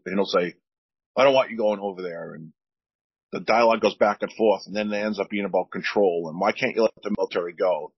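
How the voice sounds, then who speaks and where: A man with a deep voice, a veteran speaking earnestly on a podcast, often repeating words as he searches for them. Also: slightly rough, no echo, lo-fi sound. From United States. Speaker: veteran guest. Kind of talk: candid podcast talk.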